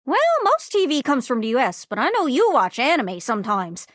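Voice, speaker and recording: A woman voicing an excitable, scatterbrained globe character in a video game, with a folksy Newfoundland accent and a bubbly, exclamatory manner. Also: sits high, fairly quick, dry recording, studio quality. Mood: chatty, thoughtful. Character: excitable, scatterbrained living globe longing to travel.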